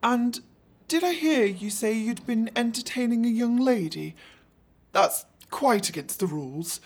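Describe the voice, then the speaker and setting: Husky, prim English landlady. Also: on the high side, animated, a little slow, darkish tone, heavily acted. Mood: stern, prying. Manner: stern, officious. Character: prim, disapproving London landlady, rent-chasing. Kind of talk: full-cast audio drama acting.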